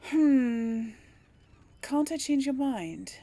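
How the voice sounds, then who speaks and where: A woman's voice: sits high, expressive, drawn-out words, dark tone. A woman giving casual role-play video game commentary, thinking aloud in a hesitant, conversational way. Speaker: gaming video host and player.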